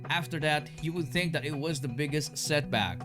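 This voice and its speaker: A man narrating a scripted documentary story in a measured, storytelling way. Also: a little high. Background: music.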